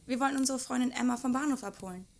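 Woman doing a sweet polite boarding school girl, highish voice, audio play. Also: very fast, background noise. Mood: warm, polite. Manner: courteous, bright-spirited. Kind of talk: German radio-play acting.

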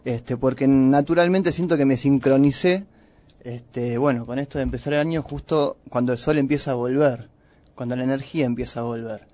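Argentine male co-host, medium pitch, casual radio chat, hesitant phrasing. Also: steady tone, brisk, warm tone, dry recording. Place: radio studio.

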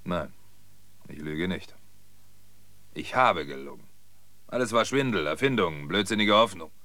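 An older actor playing a weary, mocking old fraud in a radio drama, halting and given to derisive laughter. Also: male voice, low-pitched, fairly bright tone, no echo. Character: bitter, mocking old man, weary self-confessed fraud. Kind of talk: German radio drama acting.

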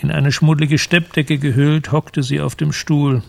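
A man narrating a literary radio drama in a measured, descriptive storytelling manner. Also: on the high side, level tone, gravelly, a little mellow, no room sound.

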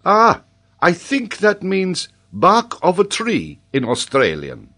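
A man voicing an excitable, talkative gentleman who exclaims emphatically in a storybook reading. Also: a little high, slow, pitched above the performer's own voice, exaggerated voice. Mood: delighted surprise. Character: excitable, talkative, astonished gentleman, loudly opinionated.

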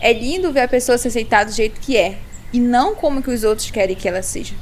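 A woman's voice: fairly high pitch, fast, slight background noise, natural voice. A woman playing a kind, self-assured young university student in an amateur radio drama. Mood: friendly. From Brazil.

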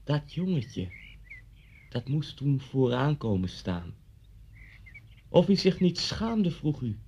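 An actor playing a menacing local policeman in a 1970s Dutch radio thriller, telling things in a deliberate, insinuating way with dramatic swells. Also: on the high side, big pitch swings, a little mellow, husky, full character voice. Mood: accusing. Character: local policeman, former pupil, menacingly recalling an old humiliation. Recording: dull, muffled 1970s radio recording.

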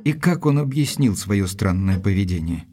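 An actor playing an earnest, mildly proud gentleman assistant to a detective, telling the story in a measured, literary way in an audio drama. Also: deep voice, animated.

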